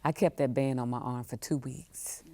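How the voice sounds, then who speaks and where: A woman's voice: extremely low pitch, fairly even intonation, normal speed, warm tone. A woman speaks reflectively as an interview guest, repeating phrases for emphasis. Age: 60s. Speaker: museum professional, podcast guest.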